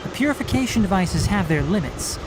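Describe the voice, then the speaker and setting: A man voicing a calm, clever young forest ranger in a video game, measured and precise. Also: high-pitched. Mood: explaining. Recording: game audio with noise.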